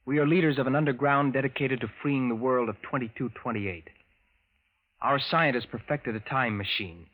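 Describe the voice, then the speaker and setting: An actor playing a resolute, grave assassin from a future underground, speaking formally in clipped sentences in old radio drama style. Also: male voice, medium pitch, moderate pace, phone-quality sound, natural voice. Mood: earnest. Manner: formal, clipped speech without contractions. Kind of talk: 1940s radio drama acting.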